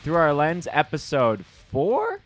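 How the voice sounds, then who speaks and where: A man's voice: on the high side, huge pitch swings, slow, no room sound, soft background music. A man co-hosting a podcast in a casual, informal and chatty way. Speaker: podcast co-host.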